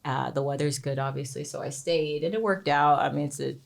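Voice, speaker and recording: A woman's voice: deep voice, a little fast, creaky. A young woman attorney from Texas, chatting casually as a podcast guest and laughing easily.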